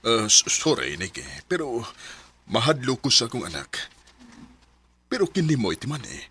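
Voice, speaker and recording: An actor playing an apologetic, evasive middle-aged father and secret lover in a Filipino radio drama, halting and stammering, with a Filipino accent. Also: mid-range voice, big pitch swings, sharp tone, slightly rough, heavily acted. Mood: guilty, apologetic.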